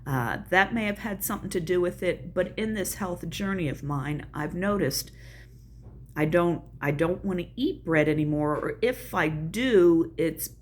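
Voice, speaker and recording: A woman's voice: lowish voice, measured pace, slight background noise. An older woman with a Southern US accent, chatting casually and folksily about her own life on her video channel. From American South. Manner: chatty, folksy, self-deprecating asides. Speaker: channel host. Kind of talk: casual personal vlog.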